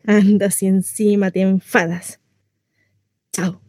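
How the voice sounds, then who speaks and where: A woman's voice: medium pitch, little pitch movement, takes it slowly, darkish tone, no echo, studio quality, close to an everyday voice. A woman acting a chatty, naive, mistreated housewife in a comic stage monologue, confessional, rambling and often interrupting herself. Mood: sarcastic, irritated. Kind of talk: theatrical comic monologue addressed to a listener.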